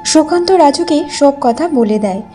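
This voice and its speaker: Lady narrating a cartoon story, highish mellow voice, scripted read, light music under. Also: animated, moderate pace.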